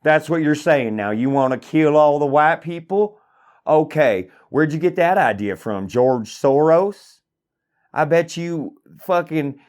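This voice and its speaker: A man's voice: medium pitch, darkish tone, natural voice. A man playing an angry hillbilly, delivering an indignant, profane, rambling comedic rant. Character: angry rural hillbilly man ranting about politics. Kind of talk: satirical comedic monologue.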